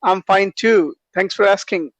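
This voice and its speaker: A man's voice: sits high, measured pace, no echo, dull audio. A man with an Indian accent practicing English on a video call, asking questions and repeating words back. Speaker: English learner. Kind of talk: casual online conversation lesson.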